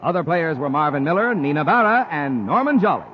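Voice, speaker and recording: High-pitched male 1950s radio announcer. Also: big pitch swings, a little fast. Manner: emphatic, dramatic salesmanship. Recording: noisy, muffled 1950s radio recording.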